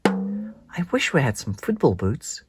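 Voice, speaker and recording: A narrator plays a little girl spider from a children's storybook. The voice sits far above normal speech, childlike and eager, swinging widely in pitch. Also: takes it slowly, over music. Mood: wistful. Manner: bright, excitable, childlike. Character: small eager young female spider who loves counting.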